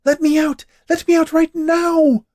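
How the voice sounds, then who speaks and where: A man's voice: very high-pitched, flat delivery, unhurried, a little mellow, dry recording, clean studio sound, pitched above the performer's own voice. A man voicing a wicked, sweetly menacing witch in a storybook tale. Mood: panicked shrieking. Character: wicked old witch, sweetly menacing, former potion maker.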